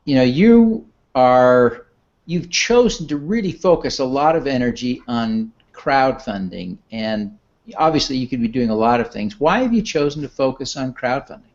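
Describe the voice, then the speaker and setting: A man hosting an interview, asking measured, friendly questions. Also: medium pitch, lively intonation, a little mellow. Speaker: interview host. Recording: dull, muffled sound.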